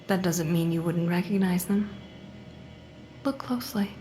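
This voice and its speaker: Woman acting a slow, menacing, taunting avenger. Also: on the low side, noisy background, close to an everyday voice. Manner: mocking, deliberate, often dropping to breathy murmurs. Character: menacing vengeful female patient, taunting, coldly controlled. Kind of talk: psychological horror audio drama acting.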